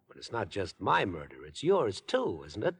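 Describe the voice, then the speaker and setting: An American actor playing an unhinged, obsessive young rich murderer in 1950s radio suspense style, wheedling, insinuating and theatrical. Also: male voice, lowish voice, wildly swooping pitch, normal speed, a bit bright, breathy edge, exaggerated voice. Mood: menacing, smug. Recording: noisy vintage 1950s radio recording.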